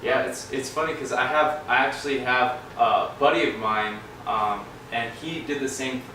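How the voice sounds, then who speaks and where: A man co-hosting a casual podcast, relaxed and encouraging as he asks questions. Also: medium pitch, moderate pace. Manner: relaxed, encouraging, frequent backchannels. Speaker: podcast co-host. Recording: echoey room, far from mic, noisy.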